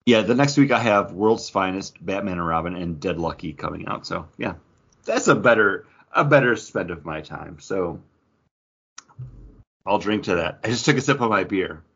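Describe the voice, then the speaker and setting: A man with a fairly low voice chats casually as a podcast co-host about his hobby. The recording is a little dull and lo-fi. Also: lively intonation, moderate pace, creaky, fairly bright tone, breathy edge. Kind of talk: casual comic book podcast chat.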